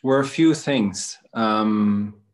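A man from Bosnia, a spiritual coach, answering interview questions in a hesitant, musing way with many pauses. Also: on the low side.

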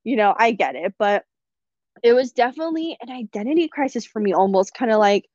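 A woman's voice: fairly high pitch, a little fast, no echo, highs cut off. Laughing young woman telling stories. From United States. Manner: lively storyteller, laughing through her anecdotes. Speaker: podcast guest. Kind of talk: casual chat.

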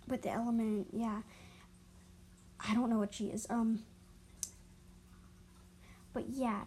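A woman's voice: a little high, darkish tone, slight background noise. A woman reading animal stories as an amateur storyteller, stumbling over and repeating words and adding explanatory asides.

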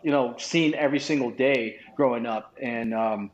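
A man's voice: mid-range voice, normal speed. A man from Vietnam in his thirties, a podcast guest telling his life story casually, rambling with many restarts and fillers. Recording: roomy, dull lo-fi sound.

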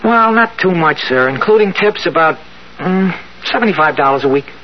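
Man playing high-voiced, polite teenage bellhop. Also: animated, moderate pace, lightly acted. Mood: boyish, eager. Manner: deferential, hesitant. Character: eager, polite nineteen-year-old hotel bellhop. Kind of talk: 1970s radio drama acting. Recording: muffled, hissy 1970s radio recording.

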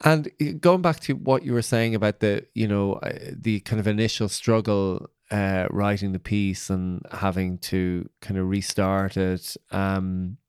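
Guy podcast host, clear smooth voice, close dry sound, asking considered questions. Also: on the low side, fairly even intonation, unhurried, few pauses, a little mellow, no room sound. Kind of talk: conversational arts interview.

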